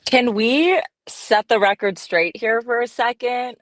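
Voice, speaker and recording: A woman's voice: a little high, a little slow, fairly bright tone, no echo. An American woman, a sports reporter guesting on a podcast, chatting casually in a playfully assertive way. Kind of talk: casual interview chat.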